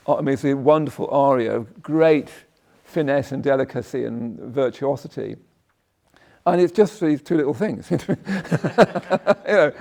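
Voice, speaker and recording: A man with a croaky voice giving a conversational Buddhist talk, often checking in with "yeah?" and breaking off his sentences. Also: fairly high pitch, warm tone. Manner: conversational, tag questions, self-interrupting. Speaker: Buddhist teacher. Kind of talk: dharma talk. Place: meditation hall.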